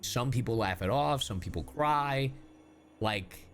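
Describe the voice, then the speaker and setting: A man's voice: mid-range voice, animated, unhurried, slight background noise. A man giving casual, emotional livestream commentary on a game, swearing and repeating himself. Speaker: streamer reacting to a game story.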